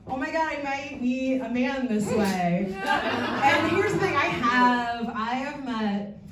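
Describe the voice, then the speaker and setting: A woman's voice: high-pitched, takes it slowly, recorded from a distance, very noisy. Bawdy woman comic in an echoey room. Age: 40s. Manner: deadpan bawdy punchlines, chatty asides with filler ums. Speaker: stand-up comedian. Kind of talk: stand-up comedy set. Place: comedy theatre stage before a live audience.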